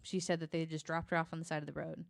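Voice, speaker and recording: Low, creaky-voiced woman, informal true-crime retelling. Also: flat delivery, dry recording, clean studio sound. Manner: halting, hedging, informal, consults notes. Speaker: podcast guest.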